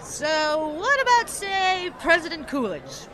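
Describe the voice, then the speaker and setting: High-voiced, flirty 1920s flapper. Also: female voice, wildly swooping pitch, slow, pushed and bright, heavily acted. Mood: playful. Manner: teasing, slangy, bubbly. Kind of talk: period radio drama acting. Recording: busy dance-hall crowd and music behind.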